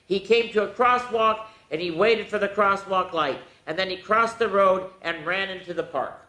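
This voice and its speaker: A woman hosting a children's story time, reading a storybook aloud in an animated, playful way with dramatic asides to the young listeners. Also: medium pitch, moderate pace. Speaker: children's storyteller, show host. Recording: roomy, echoing indoor sound.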